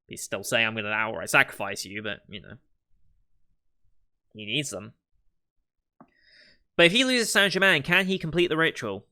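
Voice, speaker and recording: A man hosting an anime reaction, thinking aloud in casual commentary and musing over what the characters will do next, with the odd soft laugh. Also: medium pitch. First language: not Japanese.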